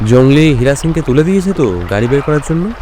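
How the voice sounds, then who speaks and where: An actor playing a brisk, commanding private detective in an audio drama, giving crisp, decisive instructions. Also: male voice, fairly high pitch, expressive, dark tone, slight background noise, close to an everyday voice.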